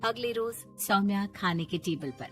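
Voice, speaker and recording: A woman's voice: medium pitch, lively intonation, normal speed, fairly bright tone, husky, faint music behind. A woman narrating a scripted moral story as a storyteller.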